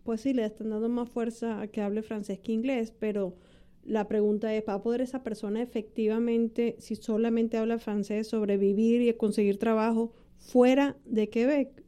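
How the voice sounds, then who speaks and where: A middle-aged woman co-hosting a podcast, giving blunt opinions in casual conversation. Her voice is fairly high yet very dark and warm, and she jumps in to finish her co-host's sentences. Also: flat delivery, normal speed, clear, resonant tone. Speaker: immigration lawyer and podcast co-host.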